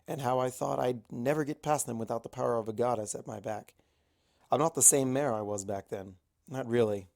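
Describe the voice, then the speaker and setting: Creaky-voiced man narrating a story. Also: medium pitch, a little mellow. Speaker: amateur audiobook narrator. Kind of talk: first-person story narration.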